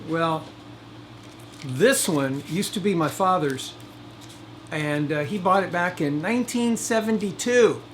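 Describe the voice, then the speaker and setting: A man's voice: high-pitched, a little slow, pauses often, a bit bright, a little hiss or hum. A man hosting a DIY video in a casual, folksy way, with wry asides to the viewer. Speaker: host of a DIY video channel. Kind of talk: casual chatty DIY narration.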